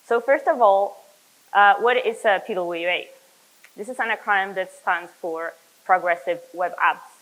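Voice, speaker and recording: A young adult woman from Chile giving a technical conference talk as a software developer, earnest and explanatory, with frequent fillers and self-corrections. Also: fairly low pitch, rough recording.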